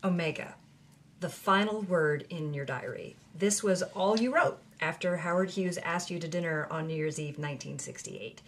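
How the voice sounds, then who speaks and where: A woman's voice: lowish voice, normal speed, a little hiss or hum, close to an everyday voice. An actress playing an eager, curious woman putting questions in an audio drama interview.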